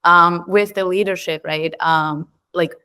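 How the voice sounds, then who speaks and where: A woman's voice: on the low side, fairly even intonation, measured pace, pushed and bright, clear, resonant tone. A woman in her thirties with a Czech accent, a podcast guest chatting casually and filling her talk with "like" and "um". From Czech Republic. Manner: chatty, many fillers like "like" and "um". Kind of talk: casual interview chat.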